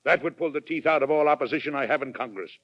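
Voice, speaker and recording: An actor playing a firm, weary, principled American president in 1940s radio drama style, formal and deliberately persuasive. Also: male voice, fairly high pitch. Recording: muffled 1940s radio recording.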